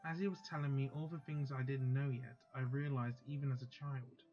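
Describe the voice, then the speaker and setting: A man's voice: mid-range voice, steady tone, a little fast, a little mellow, muffled recording, faint music behind. A man hosting a let's play, chatting casually and then reading a visual novel's text aloud.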